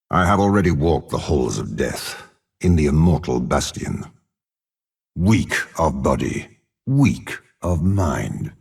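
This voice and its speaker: An imperious, ruthless old warlord from a dark fantasy game. The voice sits extremely low and is thick with gravel. He speaks with measured, haughty menace, swinging widely in pitch like someone who shares his body with a demon. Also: full character voice. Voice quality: very deep, gravelly, rasping. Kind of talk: dramatic video game character voice lines.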